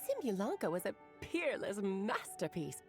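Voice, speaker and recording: An actress playing a playful, theatrical mage aunt who talks like a grand, fond storyteller in a video game. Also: female voice, medium pitch, huge pitch swings, normal speed. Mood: proud, inviting. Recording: game music underneath.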